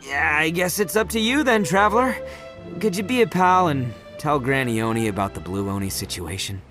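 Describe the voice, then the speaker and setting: A brash, good-natured young oni gang boss, played by a man. His somewhat high voice bounces around as he laughs, rambles and brags. Soft game music plays beneath him. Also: lively intonation, fairly quick, close to an everyday voice. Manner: boisterous, laughing, rambling and boastful.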